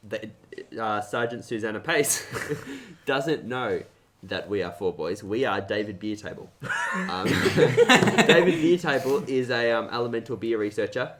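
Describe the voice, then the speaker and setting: A man's voice: mid-range voice, lively intonation, measured pace, few pauses, background noise. A young Australian man co-hosting a podcast, bantering casually and chuckling as he tells things. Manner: jokey, chuckles through his telling. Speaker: podcast co-host.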